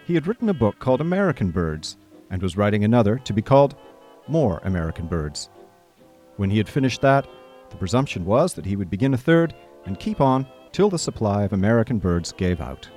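A man playing a chatty, idle young gentleman who narrates a comic radio drama in breezy, old-fashioned upper-class phrasing. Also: on the low side, big pitch swings, a little fast, soft background music. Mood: amused explaining.

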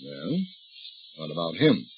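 An actor playing a shrewd, folksy older police detective, drawling and calmly needling a suspect in a 1930s radio drama. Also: male voice, a bass voice, unhurried, heavily acted. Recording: dull old radio recording with faint hiss.

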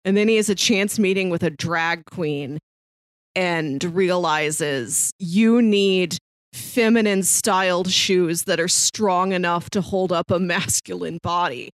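A woman's voice: lowish voice, takes it slowly, no room sound. Woman chatting casually, close dry sound. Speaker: podcast co-host. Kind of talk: casual podcast chat.

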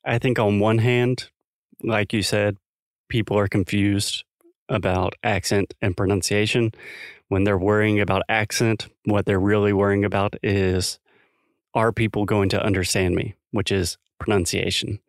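A man's voice: on the low side, flat delivery, unhurried, dry recording, clean studio sound. A man from South Carolina co-hosting a podcast, talking thoughtfully and explaining with examples in a casual chat. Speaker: podcast co-host.

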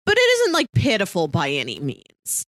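A woman's voice: lowish voice, huge pitch swings, normal speed, a bit bright, no echo. Woman chatting in a dry, close recording. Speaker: podcast co-host. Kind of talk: casual film discussion.

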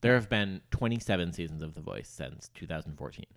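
A man co-hosting a casual comedy chat, playful and teasing, often jumping in with quick interjections. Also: sits low, animated, vocal fry. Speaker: podcast co-host.

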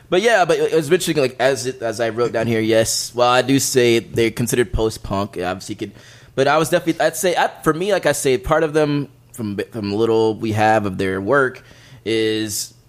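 A man's voice: mid-range voice, expressive, moderate pace. A man co-hosting a podcast, chatting casually about music in a rambling, opinionated way with crude humour. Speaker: podcast co-host presenting music picks.

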